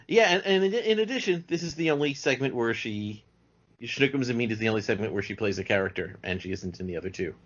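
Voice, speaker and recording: A man's voice: medium pitch, animated, fast, a bit bright. Man podcast guest, dull lo-fi sound. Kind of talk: casual, knowledgeable fan chat. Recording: dull lo-fi voice recording.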